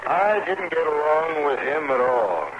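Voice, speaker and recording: Surly frontier farmer, very noisy radio. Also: male voice, a little high, sing-song, a little slow, lo-fi sound. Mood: surly, defensive. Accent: rural American. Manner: terse, defensive. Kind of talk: old-time radio Western acting. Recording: very noisy vintage radio recording.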